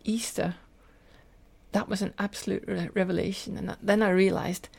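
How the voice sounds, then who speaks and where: Creaky older Scottish woman telling stories. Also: mid-range voice, fairly even intonation, normal speed, a little mellow. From Scotland. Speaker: podcast guest, teacher and lecturer. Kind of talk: personal storytelling in interview.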